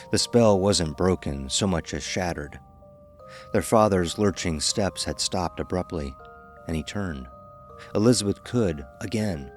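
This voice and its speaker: A man narrating a scripted horror story. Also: low-pitched. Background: faint music.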